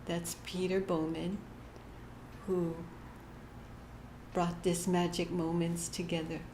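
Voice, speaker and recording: A woman giving a short inspirational church message, gentle and halting, often laughing at herself. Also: on the low side, flat delivery. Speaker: church speaker. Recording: echoey hall with faint room noise.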